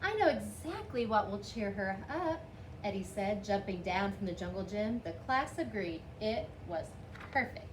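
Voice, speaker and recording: A woman's voice: medium pitch, animated, moderate pace, roomy sound. A woman reading a picture book aloud expressively, lifting her voice for the children's lines. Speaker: storybook reader. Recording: faint background noise.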